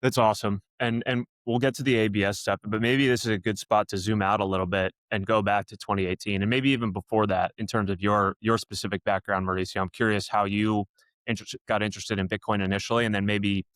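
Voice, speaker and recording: Podcast host guy, lowish voice, loose rambling questions, dry sound. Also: little pitch movement, fast, fairly bright tone, no echo. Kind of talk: podcast interview.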